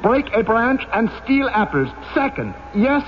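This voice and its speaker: A theatrical, sneering courtroom prosecutor in an old radio play. His high voice swings widely as he mocks with drawn-out emphasis. The recording is dull and slightly noisy. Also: sing-song, exaggerated voice. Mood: stern, accusing. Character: sneering, theatrical public prosecutor grilling a prisoner. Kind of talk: old-time radio drama acting.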